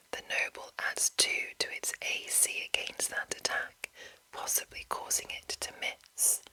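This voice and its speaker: Very slow, bright whispering ASMR woman. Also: low-quality recording. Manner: hushed, deliberate, lingering over short phrases. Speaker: ASMR host. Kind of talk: whispered ASMR reading of a game manual with casual commentary.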